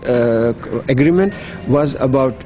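A man's voice: mid-range voice, a little slow, warm tone. A middle-aged man, giving earnest, persuasive interview answers as an NGO worker, often repeating words as he restarts. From Pakistan. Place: hotel.

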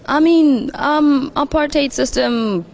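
A woman's voice: sits high, slow, vocal fry, darkish tone, a little hiss or hum. A young woman, speaking in an interview as a youth program founder, with frequent ums and restarts. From South Africa. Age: young adult. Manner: frequent ums and restarts, laughs between thoughts. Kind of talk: interview remarks at a youth forum.

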